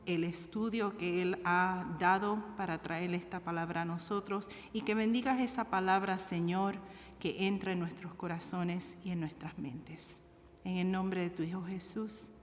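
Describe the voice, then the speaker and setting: A woman pastor introducing a guest and leading a prayer, speaking slowly and repeating her address to God between phrases. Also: fairly low pitch. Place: church sanctuary. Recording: long church hall reverb with faint room noise.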